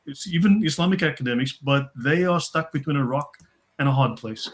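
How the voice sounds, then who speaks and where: A man's voice: on the high side, fairly quick. A man speaking as a panel guest, fluent and listing points one after another. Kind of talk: casual panel discussion.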